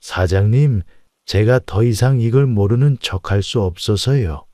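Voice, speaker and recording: Low, dark-voiced earnest estate agent acted by a man. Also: unhurried, dry recording, clean studio sound, natural voice. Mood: grave, confiding.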